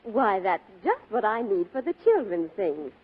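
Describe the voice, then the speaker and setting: Enthusiastic forties housewife, lively and husky, vintage radio commercial. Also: female voice, medium pitch, unhurried. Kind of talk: 1940s radio commercial acting. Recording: tinny 1940s radio broadcast.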